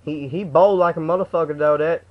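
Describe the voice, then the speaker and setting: A man's voice: on the high side, fairly even intonation, fairly quick, natural voice. A young man putting on a slangy, stammering, aggrieved customer for a prank phone call. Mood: griping. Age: young adult. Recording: dull lo-fi webcam audio.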